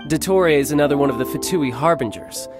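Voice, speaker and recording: An actor playing a cocky, playful young warrior lord and older brother in a video game, speaking in a smooth, teasing, confident way. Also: male voice, fairly high pitch, quick pace, lightly acted. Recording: game soundtrack under the voice. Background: game music.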